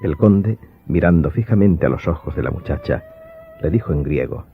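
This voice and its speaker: A man's voice: rumbling low, expressive, normal speed, vocal fry. A man narrating an audiobook drama from a script in a formal, literary manner. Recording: thin muffled phone-like sound, faint music.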